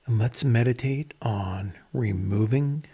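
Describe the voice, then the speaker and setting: A man leading a guided meditation in a measured, instructional manner, pausing between phrases, with a breathy, husky voice. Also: mid-range voice, dark tone.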